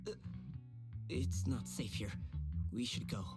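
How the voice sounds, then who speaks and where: A man's voice: medium pitch, slow, over music. A man playing a modest, earnest young apprentice mystic in a video game, humble and polite. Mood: earnest, cautious.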